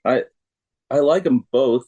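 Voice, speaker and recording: A middle-aged American man answering questions in a casual interview, speaking in an unhurried, halting way. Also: mid-range voice, dark tone, breathy edge, dull audio. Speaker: interview guest, drummer.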